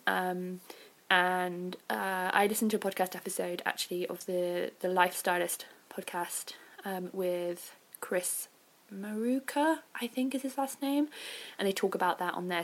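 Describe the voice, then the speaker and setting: A woman hosting a podcast as an informal, reflective solo chat, with hedging asides and a creaky voice. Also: mid-range voice. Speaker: podcast host.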